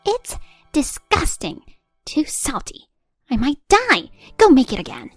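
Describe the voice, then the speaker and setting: A nagging, spiteful middle-aged mother-in-law, put on by a woman reading a story, her voice pitched high and thin and her scolding drawn out slowly. She sneers at everything. The read is dry and close, with soft music under it. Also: wildly swooping pitch, no echo, exaggerated voice.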